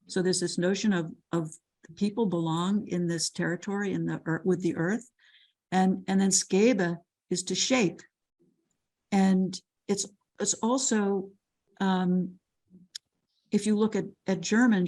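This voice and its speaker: A woman's voice: lowish voice, a little mellow. An older woman lecturer answering a question on a video call, thoughtful and full of restarts and repeated words. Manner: thoughtful, with frequent restarts and repetitions.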